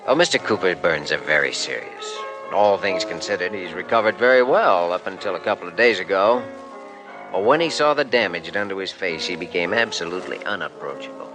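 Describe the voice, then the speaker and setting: An actor in a 1950s radio drama playing an earnest young male doctor, gentle and persuasive. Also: deep voice, animated, fairly quick, music in the background, rough recording.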